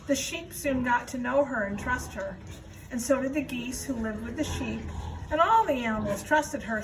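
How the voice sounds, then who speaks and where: High-voiced woman reading a children's book. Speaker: storybook reader, school staff. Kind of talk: children's book read-aloud narration. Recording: noisy room, distant microphone.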